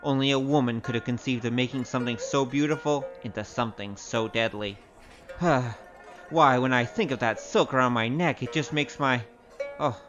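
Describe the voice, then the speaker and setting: A man's voice: medium pitch, normal speed, muffled recording, close to an everyday voice. A male actor playing a roguish, wry storyteller with a grandiose, theatrical manner in a comic audio drama. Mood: teasing, relishing. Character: roguish, boastful storyteller, wry poor adventurer. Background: music.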